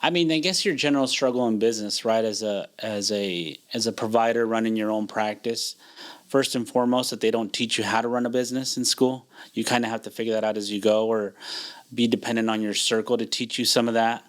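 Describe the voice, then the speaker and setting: Relaxed, reflective man in his forties. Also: on the low side, brisk. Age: 40s. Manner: relaxed, reflective, many fillers and restarts. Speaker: chiropractor, podcast guest. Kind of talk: casual interview conversation.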